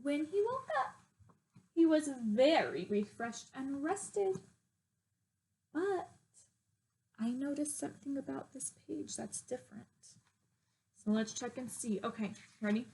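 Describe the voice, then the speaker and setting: A woman teacher reading aloud to young children, expressive and exaggerating her emphasis. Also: sits high, measured pace, creaky, pauses often, rough recording.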